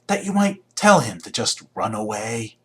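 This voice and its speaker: An actor playing a sly, ruthless rival in a video game, wry and probing, with a husky voice. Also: male voice, on the low side, very animated, moderate pace, background noise. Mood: wry, suspicious. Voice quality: husky, raspy. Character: the Hunter unmasked, sly ruthless male rival villain.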